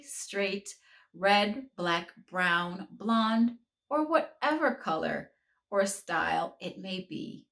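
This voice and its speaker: A woman, a children's book author, reading her story aloud to children in a slow, expressive, encouraging way. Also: medium pitch.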